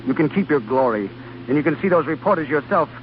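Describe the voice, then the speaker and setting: An actor playing an idealistic, defiant research doctor in 1930s radio drama style, bitter but determined. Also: male voice, a little high, expressive, fast, slight background noise, lightly acted. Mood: defiant, resolute. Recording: dull old radio recording, loud music behind.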